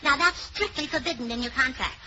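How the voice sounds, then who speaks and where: High ditzy woman, old radio. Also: brisk. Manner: scatterbrained, ditzy. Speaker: comedienne. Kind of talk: 1940s radio comedy acting. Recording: dull lo-fi old radio recording with clear hiss.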